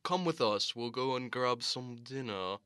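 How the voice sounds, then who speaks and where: Man playing suave genteel inmate, slow pace, crisp clear voice, grandly polite, radio comedy. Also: medium pitch, lively intonation, pushed and bright, no room sound, clean studio sound. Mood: suave, welcoming. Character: genteel, leisurely prisoner showing a newcomer around. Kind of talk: improvised radio drama comedy.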